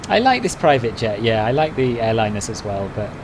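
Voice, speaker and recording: Man, relaxed conversational live-stream talk, answering chat, steady background noise. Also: mid-range voice, fairly quick. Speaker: flight sim streamer.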